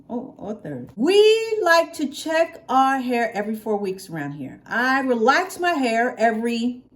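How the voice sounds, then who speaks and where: A middle-aged American woman chatting casually to her viewers in a folksy, expressive way, repeating phrases for emphasis, recorded in a living room at home. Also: on the high side. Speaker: hair care vlogger.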